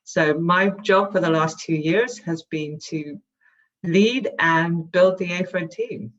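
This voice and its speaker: A woman's voice: fairly low pitch, takes it slowly, highs cut off. A woman from England with a London accent, a startup CEO speaking in a composed, articulate way in a podcast interview. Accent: London English.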